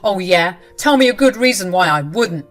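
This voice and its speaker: A woman voicing a furious husband caught cheating, shouting indignantly. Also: fairly low pitch, animated, moderate pace, soft background music, natural voice.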